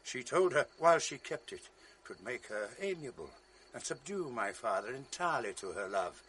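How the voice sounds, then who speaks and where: An actor playing a jealous noble general in a radio drama, grave and commanding with a resonant stage delivery. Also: male voice, mid-range voice, very animated, normal speed, a bit bright, breathy edge. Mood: solemn. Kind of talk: 1980s radio drama acting.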